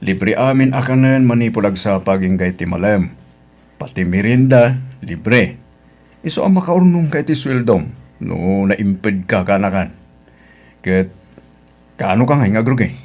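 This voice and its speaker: Practical, plain-spoken Ilocano uncle. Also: male voice, fairly low pitch, expressive, a little slow, pauses often, a little mellow. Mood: matter-of-fact. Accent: Filipino, Ilocano. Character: practical middle-aged uncle, restaurant boss, helpful and plain-spoken. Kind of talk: Ilocano audio drama acting.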